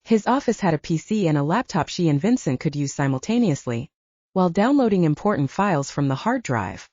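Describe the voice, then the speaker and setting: Lady reading a novel, soft mellow voice, lots of pitch movement, slightly muffled dry audio. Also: very animated, fairly quick, dry recording. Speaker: audiobook narrator. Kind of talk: scripted fiction narration.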